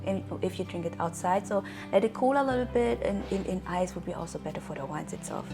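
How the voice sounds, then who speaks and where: A woman's voice: lowish voice, expressive, fast talker, over music. A woman from Germany explaining casually in conversation, hesitant and often searching for words. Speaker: wine-savvy guest explaining to host.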